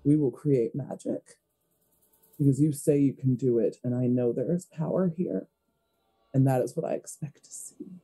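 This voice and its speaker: A woman's voice: extremely low pitch, moderate pace, dark tone, husky. A woman playing a tabletop roleplaying game, improvising her character's scenes and chatting casually at the table.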